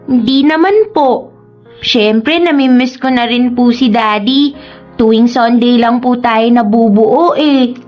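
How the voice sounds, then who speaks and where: A woman's voice: on the high side, slow, muffled recording, faint music behind. A woman playing a sweet, eager little boy in a radio drama, talking politely and pleadingly like a young son. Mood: wistful. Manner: polite, pleading childlike talk. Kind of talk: Tagalog radio drama acting.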